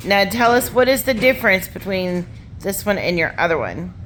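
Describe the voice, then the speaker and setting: A woman's voice: medium pitch, big pitch swings, normal speed, fairly bright tone, slight background noise. A woman chatting casually in a matter-of-fact, directive way, prompting someone with questions. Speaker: off-camera helper asking questions.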